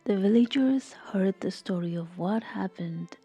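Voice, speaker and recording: Female storyteller for a scripted folktale: soft, husky-breathy voice, mellow tone, measured expressive reading. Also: mid-range voice, soft background music.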